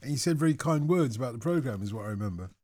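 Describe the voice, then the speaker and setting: Male radio host, warm mellow tone, dry studio sound, chatty, jokey. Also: medium pitch, expressive, racing, dry recording. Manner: jokey, chatty, chuckles often. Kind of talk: late-night radio phone-in chat.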